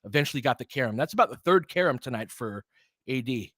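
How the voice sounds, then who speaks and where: A man's voice: mid-range voice, animated, quick pace, fairly bright tone, dry recording. A man giving informal live basketball play-by-play as a partisan commentator who urges on the home team.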